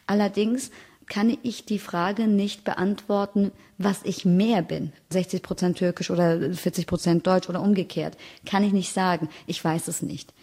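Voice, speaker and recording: A woman in her thirties from Germany gives a documentary interview. Her voice is mellow and warm. She speaks thoughtfully and leans on key words for emphasis. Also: lowish voice, steady tone, normal speed. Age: 30s.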